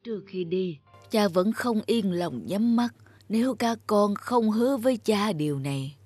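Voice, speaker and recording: A woman's voice: medium pitch, expressive, a little slow, darkish tone, husky, dry recording, soft background music. A woman voicing a weary, caring old father speaking solemnly on his deathbed in a cartoon fairy tale.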